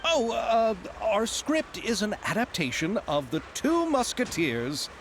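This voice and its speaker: A man's voice: sits high, sing-song, measured pace, noisy background, full character voice. A man voicing a nervous, earnest young film director who talks hesitantly and apologetically, eager to please, in a video game. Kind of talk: game voice acting. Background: game music.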